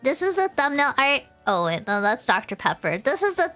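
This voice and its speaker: A woman's voice: sits high, sing-song, moderate pace, sharp tone, soft background music. A woman livestreamer chatting casually to her viewers in a bubbly, giggly way, often repeating her phrases. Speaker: VTuber livestreamer.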